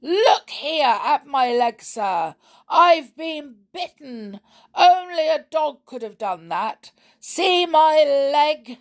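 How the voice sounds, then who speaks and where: A woman's voice: high voice, expressive, slow pace, pitched above the performer's own voice, heavily acted. A woman voicing an angry, aggrieved man in a storybook, complaining in an anguished, raging way.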